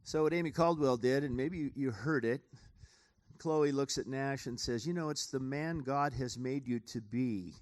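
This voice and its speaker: A man's voice: medium pitch, normal speed, a little mellow. Earnest male pastor addressing an audience. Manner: earnest, preaching. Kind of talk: informal address to a theater audience.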